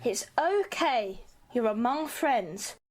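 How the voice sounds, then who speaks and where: Woman acting as earnest young student leader, high-pitched, animated, organising, amateur radio drama. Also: slow. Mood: gently diplomatic. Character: earnest student cosplayer taking charge of stranded passengers.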